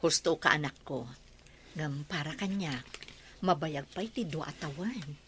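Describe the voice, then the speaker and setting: A woman with an Ilocano accent playing a gentle, caring older mother in a radio drama. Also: low voice, measured pace, slight background noise.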